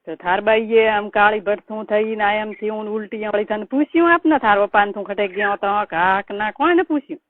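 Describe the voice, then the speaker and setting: A woman's voice: mid-range voice, a little fast, hardly any pauses. Blunt Marwari woman over a phone line. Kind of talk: casual private phone conversation. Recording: tinny, narrow phone-call recording.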